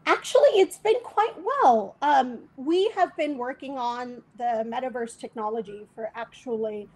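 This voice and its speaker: Measured woman guest with frequent "um"s. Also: a little high, poor audio. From Bangladesh. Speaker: guest, tech executive. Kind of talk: remote interview.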